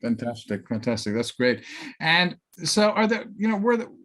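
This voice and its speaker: A man hosting a casual interview, asking questions in a hesitant, halting way. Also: medium pitch, big pitch swings, a bit bright. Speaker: interviewer, host, business coach.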